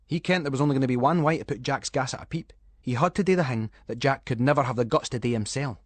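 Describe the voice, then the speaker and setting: A Scottish man narrating a ghost story in Scots dialect, with an expressive, suspenseful storytelling delivery. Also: mid-range voice, fast talker, no room sound. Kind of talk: dramatic scripted narration of a ghost story for schools radio.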